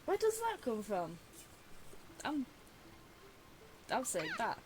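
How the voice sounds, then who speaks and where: Chatty, high-voiced woman streaming a game. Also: huge pitch swings, slow pace. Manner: chatty, rambling, giggly. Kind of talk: casual reaction commentary over game play. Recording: faint background hiss.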